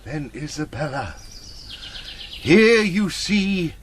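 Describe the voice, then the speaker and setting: An imperious knight of gothic romance in a high, widely swinging voice. He declaims grandly and haltingly, like a lord of period radio drama. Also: big pitch swings, slight background noise. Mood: astonished, grand. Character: proud, imperious noble knight, a long-lost father. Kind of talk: period audio drama acting.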